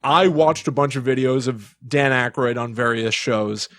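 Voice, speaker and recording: A man's voice: mid-range voice, normal speed, a bit bright, dry recording. A man hosting a casual podcast, talking conversationally with wry, exaggerated commentary. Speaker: podcast host.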